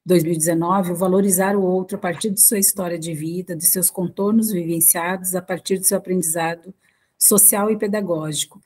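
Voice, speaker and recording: A woman, moderating a session, reading a question aloud with halting restarts. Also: on the low side, fairly even intonation, darkish tone.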